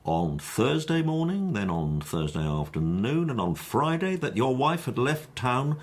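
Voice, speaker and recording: A male actor playing a stern police superintendent in a radio drama, formal and deliberate in his questioning. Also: medium pitch, big pitch swings.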